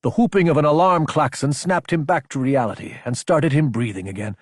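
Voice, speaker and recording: A man's voice: fairly high pitch, fairly quick, no echo, studio quality. A man reading scripted fiction as an audiobook narrator, in a dramatic storytelling style.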